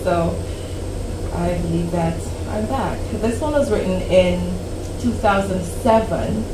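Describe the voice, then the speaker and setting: A woman of about forty reading her own poem aloud at a cafe open mic, slow and deliberate with dramatic pauses. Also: fairly low pitch. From Canada. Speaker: poet. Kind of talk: poetry reading. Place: small cafe stage before a seated audience. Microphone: stand mic, recorded by a camera at the back. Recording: roomy, distant camera audio with hum.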